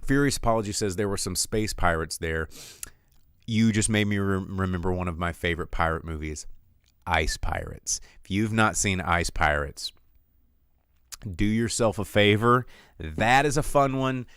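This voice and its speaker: A man's voice: deep voice, normal speed, a bit bright, clean tone, no room sound, studio quality. A man hosting a casual, rambling livestream of film chat, with an opinionated, jokey, conversational way of talking. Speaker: livestream host reviewing films.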